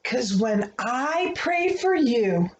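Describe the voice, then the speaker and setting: A woman reading a rhyming children's picture book aloud, with expressive, deliberate phrasing meant for young listeners. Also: a little high, highs cut off. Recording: roomy echo, faint background noise.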